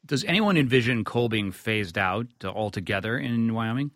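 A man's voice: fairly low pitch, lively intonation, moderate pace, fairly bright tone, no echo, studio quality. A man hosting a radio news interview. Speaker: radio host.

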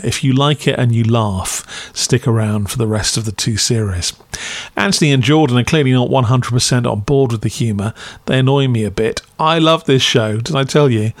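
A man's voice: mid-range voice, no room sound. A man hosts a podcast, reading a scripted review in a chatty, opinionated way with wry asides. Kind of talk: scripted review narration, conversational.

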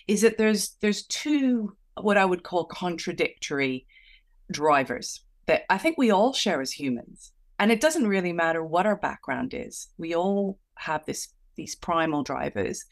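A woman coach and author, born in Venezuela, giving reflective interview answers with frequent restarts. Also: sits low. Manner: reflective, with many restarts and fillers.

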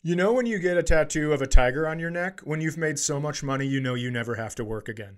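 A man's voice: fairly high pitch, fast. A man bantering casually as a comedy podcast co-host, with a teasing, goading way of talking.

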